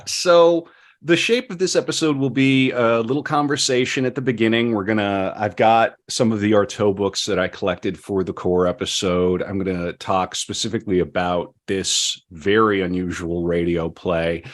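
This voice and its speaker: A man's voice: on the low side, normal speed. A man hosting a podcast in casual, playful banter with a jokey, theatrical way of talking. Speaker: podcast host.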